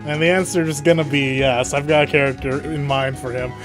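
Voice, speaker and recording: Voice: mid-range voice, steady tone, fairly quick, music in the background, low-quality recording. A game streamer chatting casually with friends and viewers during a group stream.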